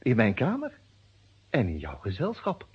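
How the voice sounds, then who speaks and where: A proud male lawyer under suspicion in a vintage radio crime drama. His husky voice swings widely in pitch as he throws out sardonic, mock-formal challenges. The sound is muffled and lo-fi. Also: huge pitch swings, moderate pace, heavily acted. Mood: indignant. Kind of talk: Dutch detective radio drama acting. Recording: dull lo-fi old radio recording.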